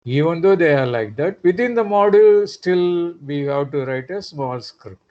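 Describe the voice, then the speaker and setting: Male lecturer, slightly high voice, halting delivery, dull lo-fi online audio. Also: lively intonation, mellow. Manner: hesitant with frequent "uh", rhetorical tag questions.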